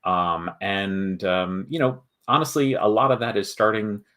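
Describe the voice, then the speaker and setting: A man hosting a casual livestream, chatting with viewers in a halting way as he thinks aloud. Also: on the low side, steady tone, fairly bright tone. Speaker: host of a board game company livestream.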